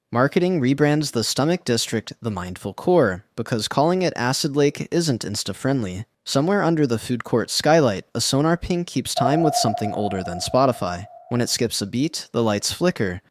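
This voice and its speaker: A man giving scripted internet narration in a slangy, wry, deadpan way. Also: medium pitch, no echo, studio quality.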